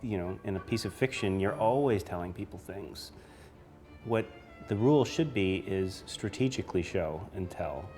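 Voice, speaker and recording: A man's voice: low voice, lively intonation, normal speed, a little mellow. A man who writes, giving blunt, opinionated and emphatic commentary in an interview. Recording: music behind the voice. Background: background music.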